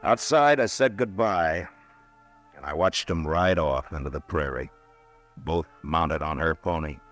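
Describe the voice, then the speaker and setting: An actor in a 1950s radio western playing a gentle, stammering frontier marshal who also narrates the story. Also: male voice, extremely low pitch, unhurried, soft background music. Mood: reflective. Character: weary, kindly frontier marshal, grown man.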